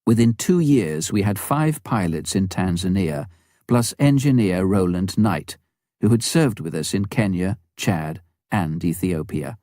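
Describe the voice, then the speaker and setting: A man's voice: deep voice, expressive, a little slow, mellow, no echo, studio quality. A man narrating a memoir from a script, as an audiobook reader.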